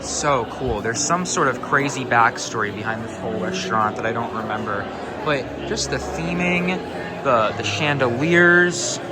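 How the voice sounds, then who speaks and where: A young man vlogging through a theme park, upbeat and enthusiastic, his voice slightly raspy and hoarse. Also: mid-range voice. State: hoarse from shouting on a coaster. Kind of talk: casual vlog narration. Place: indoor and outdoor areas of a theme park.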